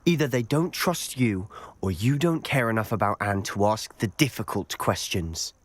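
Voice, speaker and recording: A man's voice: lowish voice, expressive, moderate pace. A man playing an impatient, hot-headed teenage wizard student in a video game, intense and cutting. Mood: frustrated, distrustful. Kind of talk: video game cutscene acting.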